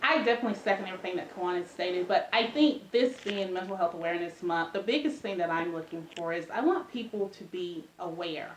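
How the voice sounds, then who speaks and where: A woman speaking as an expert guest in an interview, explaining in a measured, conversational way. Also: on the low side. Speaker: mental health expert guest. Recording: roomy echo, faint background noise.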